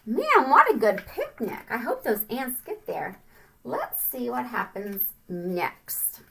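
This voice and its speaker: Female read-aloud storyteller for children, bright high voice, singsong and expressive, chatty asides. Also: slow, gravelly. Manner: expressive, singsong, asks the listener questions.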